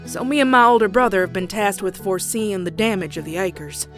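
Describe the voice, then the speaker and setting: A woman's voice: mid-range voice, a little fast, faint music behind, lightly acted. A woman voicing a plucky young country farm pony in a horror comic dub, with a folksy Southern US drawl. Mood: somber, uneasy. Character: young country farm mare, plucky, teasing, later uneasy narrator. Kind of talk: dramatic comic dub with narration.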